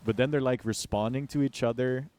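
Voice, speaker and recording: A man's voice: mid-range voice, fairly even intonation, a little fast, a little mellow, no room sound. An American man chatting casually on a game livestream, joking in slang and complaining in mock exaggeration. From United States. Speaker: game streamer. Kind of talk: casual livestream chat while gaming. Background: music behind the voice.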